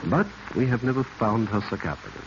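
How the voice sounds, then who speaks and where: An actor playing a gallant, formal museum official in a 1940s radio drama, speaking in flowery, courteous phrases. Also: male voice, medium pitch, lively intonation, a little fast, natural voice. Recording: muffled, very noisy vintage radio recording.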